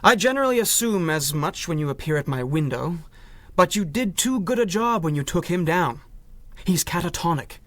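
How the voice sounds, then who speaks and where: An exasperated doctor in a 1930s radio serial. His fairly high male voice is wry and wordy, and the sound is dry and close. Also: animated, normal speed, a bit bright, no room sound. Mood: dryly reluctant. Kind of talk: 1930s pulp radio drama acting.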